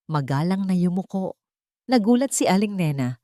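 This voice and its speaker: Low-voiced Filipino woman narrating, dry sound. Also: animated, moderate pace, mellow, no echo. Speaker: story narrator. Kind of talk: scripted dramatic narration of a romance story.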